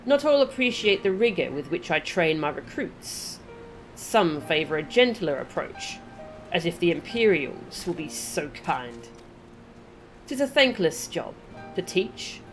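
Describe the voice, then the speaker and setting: A woman's voice: lowish voice, poor audio. A woman voicing a stern, proud trainer of rebel recruits, speaking formally and with measure in a game. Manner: measured, formal, archaic phrasing. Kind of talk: reading game dialogue in character.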